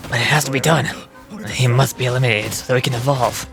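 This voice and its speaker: Pompous villain played by a man, loud music behind. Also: mid-range voice, steady tone, quick pace, vocal fry, natural voice. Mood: zealous. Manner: pompous, formal, declamatory. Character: arrogant male villain, cold would-be overlord of hive mind. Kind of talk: live amateur voice acting of game dialogue. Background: game music.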